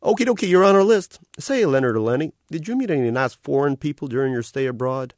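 A relentlessly cheerful electronic otter, pitched far up into a sing-song carnival patter and sitting louder than the story around it. He chirps every line like a friendly salesman, bright and bouncy, with wide swoops in pitch. The delivery is a storybook character voice. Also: expressive, fast talker, dry recording, studio quality. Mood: chirpy. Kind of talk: audiobook character voice.

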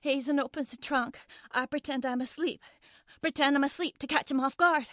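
A woman's voice: high-pitched, fairly even intonation, normal speed, fairly bright tone, dry recording, clean studio sound. A woman playing a scared but defiant young schoolgirl in a dramatic monologue, stammering and repeating herself with foul-mouthed bravado. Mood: hushed, resolute. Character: frightened, defiant young schoolgirl trapped in a car trunk. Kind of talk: dramatic first-person monologue.

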